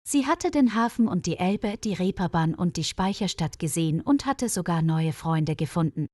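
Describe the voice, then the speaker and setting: A woman reading a scripted audio story for language learners in a measured, clearly phrased storytelling manner. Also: on the low side, lively intonation, warm tone, dry recording, studio quality. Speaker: narrator of German-learning stories.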